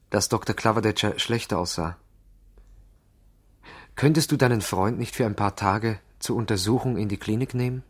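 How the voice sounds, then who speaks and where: An actor with a Swiss German accent playing an earnest, idealistic young doctor in a 1970s radio play, speaking in a measured, formal way. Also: male voice, lowish voice, close to an everyday voice. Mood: concerned, subdued.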